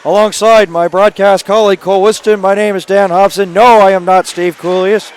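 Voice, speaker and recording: A male play-by-play announcer with a raspy, hoarse voice calling a live hockey game from an arena booth, quick and rising to excited calls on scoring chances. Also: sits high. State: hoarse from days of calling games.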